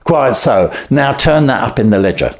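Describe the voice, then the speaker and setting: A man voicing a surly, cocksure Victorian London poultry salesman in a storybook reading, gruff and blustering. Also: mid-range voice, expressive, moderate pace, lightly acted. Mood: smug. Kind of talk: storybook character voice.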